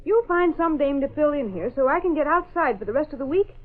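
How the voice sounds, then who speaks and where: An actress playing a sassy, quick-witted young newspaper photographer who talks in wisecracks in a 1930s radio drama. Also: female voice, high-pitched, expressive. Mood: sassy, bargaining. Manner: wisecracking, slangy. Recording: muffled band-limited old radio recording.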